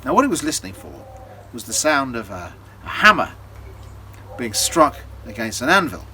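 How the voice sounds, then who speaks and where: A man telling a folktale as a storyteller, in a theatrical, deliberate way with dramatic pauses. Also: mid-range voice, sing-song, sharp tone, a little hiss or hum.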